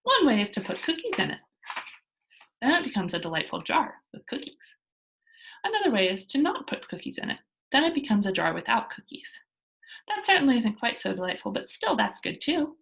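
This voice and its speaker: A woman's voice: fairly high pitch, sing-song, brisk, creaky, fairly bright tone, heavily acted. A woman voicing a bold, cheerful young girl adventurer from a children's storybook, with a breezy, boastful manner. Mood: matter-of-fact. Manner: breezy, boastful, matter-of-fact. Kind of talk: children's storybook character read aloud.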